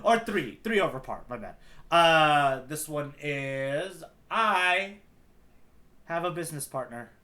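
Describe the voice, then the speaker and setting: Lively, bright-voiced man running a quiz. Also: a little high, slow pace. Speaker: podcast co-host, quizmaster giving clues. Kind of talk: casual chat, playful game banter.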